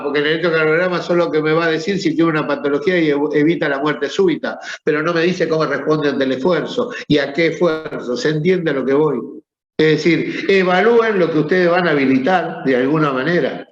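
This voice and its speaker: Man with a rioplatense accent lecturing students, fairly high voice, roomy echo, kinda dull audio. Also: fast. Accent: Rioplatense Spanish. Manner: conversational, asks the class questions. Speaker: teacher. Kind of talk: classroom lecture. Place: classroom. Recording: echoey room, dull sound.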